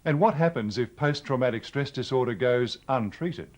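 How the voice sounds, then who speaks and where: An Australian man presenting an educational film in a measured, explanatory, scripted style. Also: medium pitch, a little mellow.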